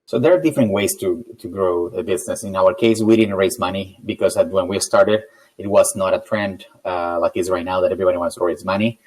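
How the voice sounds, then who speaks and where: Low-voiced Peruvian man being interviewed. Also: a little fast, darkish tone, rough recording. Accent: Peruvian Spanish. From Peru. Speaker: interview guest, tech company founder. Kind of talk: conversational interview answers.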